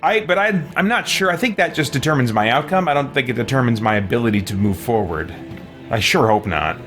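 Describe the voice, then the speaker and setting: A man's voice: medium pitch, expressive, a little fast, fairly bright tone. A man giving casual gameplay commentary on a livestream, chatty and rambling as he thinks aloud. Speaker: livestreamer playing a video game. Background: game music.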